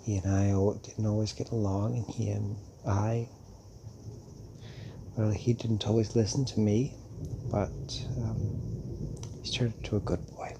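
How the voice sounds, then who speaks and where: Guy doing a old centuries-old vampire dad type for audio roleplay, really slow n halting, gentle, bit of storm noise behind. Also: on the low side, little pitch movement, vocal fry. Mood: fond, reflective. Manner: halting, musing, with hums and long pauses. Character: centuries-old male vampire creator, fatherly, gentle, wistful. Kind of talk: intimate audio roleplay addressed to the listener.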